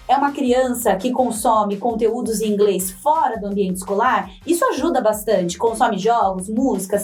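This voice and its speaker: A woman's voice: on the high side, a little mellow, recorded from a distance. A woman teacher, explaining points steadily in a podcast panel discussion. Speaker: teacher at a bilingual school.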